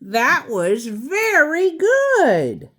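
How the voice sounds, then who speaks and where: A woman voicing a kind, gentle woman schoolteacher from a picture book, speaking slowly and encouragingly. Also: high voice, wildly swooping pitch, pitched above the performer's own voice, full character voice. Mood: bright, encouraging. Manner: gentle, slow, encouraging, teacherly.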